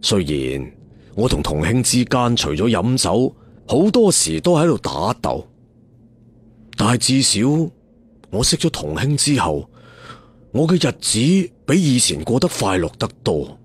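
A man voicing a brooding, menacing young leader of swordsmen in a storybook, slow, weary and threatening. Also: mid-range voice, very animated, breathy edge, heavily acted.

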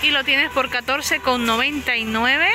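A woman's voice: sits high, fairly even intonation, measured pace. Chatty woman touring store aisles. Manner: chatty, lists prices and sizes, self-corrects. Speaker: shopping vlogger. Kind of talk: casual store walkthrough narration. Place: inside a large discount supermarket. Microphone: handheld phone camera. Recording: music playing under the voice. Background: background music.

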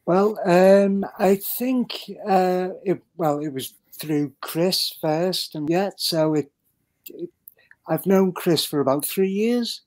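A man's voice: high voice, takes it slowly, a little mellow. A man speaking as a podcast guest, modest, polite and hesitant, over an online call. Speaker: guest, amateur actor. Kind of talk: interview chat.

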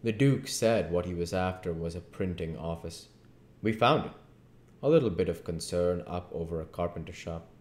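A man's voice: low voice, normal speed, darkish tone. A man in his thirties reading a novel aloud as narrator, recorded at home in an echoey living room. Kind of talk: audiobook-style story reading.

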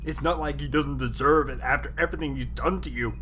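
A man plays a vengeful young skeleton with a highish, forceful voice. He is accusing and animated in a dramatic cartoon dub, with faint noise behind. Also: quick pace, lightly acted. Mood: bitter anger. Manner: accusing, intense. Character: bitter, vengeful young male skeleton brother. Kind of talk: dramatic comic dub voice acting.

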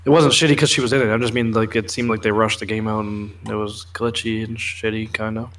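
Flat-toned young man chatting casually. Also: lowish voice, brisk. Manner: casual, swears freely. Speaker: co-host of a pop culture news show. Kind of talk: casual chat, explaining film trailers and comics.

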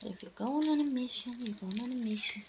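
A young woman murmuring to herself slowly in an in-character roleplay vlog, recorded on a webcam in a small room. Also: on the high side. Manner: slow, murmuring self-talk with frequent laughs. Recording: dull lo-fi webcam audio with loud noise.

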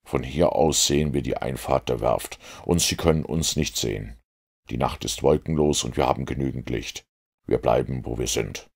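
A shrewd, methodical storybook detective acted out by a man reader speaks in an extremely low voice. It crackles with gravel as he lays out his reasoning deliberately, fully sure of himself. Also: heavily acted. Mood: watchful. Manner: deliberate, explanatory.

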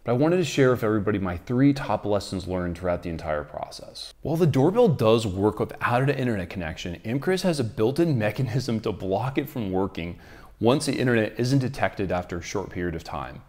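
A man's voice: fairly low pitch, very animated, brisk, a little mellow. A man presents an explanatory tech video to camera. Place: quiet living room, indoors. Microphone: lapel microphone.

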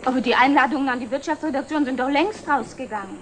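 An actress playing a brisk, composed secretary to a company director in an old television drama. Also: female voice, high-pitched, a little hiss or hum, close to an everyday voice. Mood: brisk, efficient. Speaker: actor in a television series. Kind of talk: 1960s German television drama acting.

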